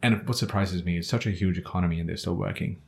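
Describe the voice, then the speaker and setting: Nepali guy on a podcast, deep voice, english with nepali accent, lots of ums. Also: gravelly. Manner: thoughtful, many pauses with um and uh. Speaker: podcast guest. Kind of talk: casual chat.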